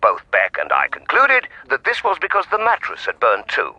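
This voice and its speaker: An actor playing an irritable, sarcastic, overworked forensic scientist who speaks with exasperated emphasis in a radio drama. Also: male voice, medium pitch, wildly swooping pitch, normal speed, sharp tone, dry recording, highs cut off, heavily acted. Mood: insistent.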